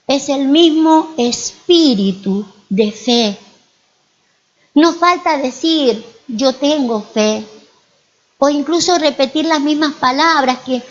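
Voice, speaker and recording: High-voiced Argentine woman preaching. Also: lo-fi sound. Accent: Argentine Spanish. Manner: storytelling with frequent rhetorical questions to the congregation. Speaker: pastor. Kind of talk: sermon. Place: church.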